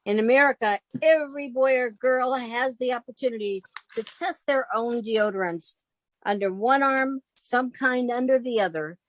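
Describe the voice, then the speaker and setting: A woman's voice: on the high side, moderate pace, natural voice, rough recording. A woman voicing an earnest thirteen-year-old girl in a spoof commercial testimonial. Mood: earnest, cheery. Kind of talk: parody ad testimonial.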